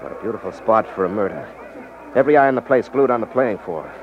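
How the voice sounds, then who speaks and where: Guy acting a shrewd deep voiced american radio detective, weary, lively inflection, old muffled recording. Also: fast talker, noisy background, lightly acted. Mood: cool, grim. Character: cool, shrewd male ex-magician rackets detective. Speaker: radio drama lead actor, narrating and in scenes.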